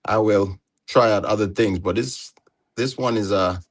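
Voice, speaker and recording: A man hosting a casual livestream chat, easygoing and quick to laugh as he puts questions to his guests. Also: lowish voice. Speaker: livestream host.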